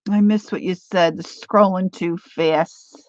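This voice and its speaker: A woman's voice: lowish voice, fairly even intonation, takes it slowly, no room sound. A woman in her sixties with a Philadelphia accent, who hosts a casual craft livestream, chatting in a rambling way while she reads out viewer comments. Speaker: craft channel host. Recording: dull lo-fi livestream audio.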